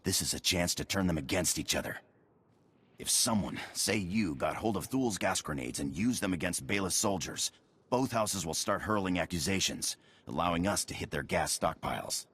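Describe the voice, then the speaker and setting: A furtive, paranoid spy from a video game. His voice is extremely deep and thick with rasp. He speaks in clipped, secretive phrases. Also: lively intonation, moderate pace, fairly bright tone, exaggerated voice. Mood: secretive, urgent. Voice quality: very gravelly, raspy. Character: furtive paranoid male spy agent, conspiratorial.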